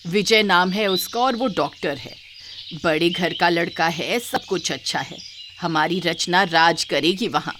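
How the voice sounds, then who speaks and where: A woman's voice: fairly low pitch, unhurried, noisy background, lightly acted. Woman acting firm, practical middle-aged mother. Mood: pleased. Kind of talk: acted dialogue in a moral story.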